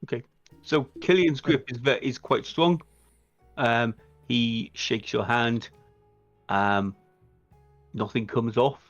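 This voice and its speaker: A man narrating a tabletop roleplaying game as game master, in a measured, halting way, recorded a little lo-fi. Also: mid-range voice, steady tone, no room sound. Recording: dull lo-fi mic, music underneath. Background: background music.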